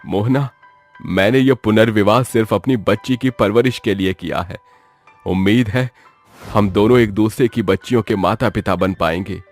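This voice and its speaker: Man playing a worried widower dad in an animated story, firm and earnest, soft music behind. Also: on the low side, moderate pace. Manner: earnest, troubled, speaking firmly. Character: worried widowed father, cloth trader, middle-aged.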